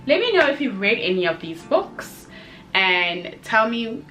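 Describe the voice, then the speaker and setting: A young woman chatting casually in a book review video, expressive and given to emphatic repetition. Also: a little high, unhurried, soft background music, poor audio.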